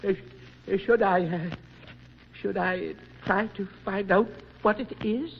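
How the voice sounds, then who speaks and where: An actor playing a timid, fussy old male mayor who stammers, hems and clears his throat, in 1940s radio acting on a dull old broadcast. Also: sits high, takes it slowly, slight background noise, heavily acted. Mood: nervous.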